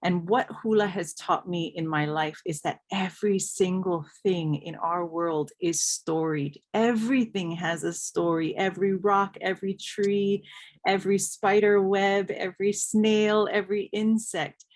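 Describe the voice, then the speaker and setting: A woman's voice: mid-range voice, steady tone, measured pace. A woman from Hawaii speaking articulately as a panelist, reflecting personally and building emphatic lists. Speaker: panelist, scholar.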